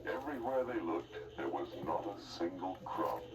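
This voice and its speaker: A man's voice: deep voice, sing-song, normal speed, very noisy. A man giving dramatic scripted narration of a fairy tale as the storyteller. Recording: roomy, distant, loud music behind.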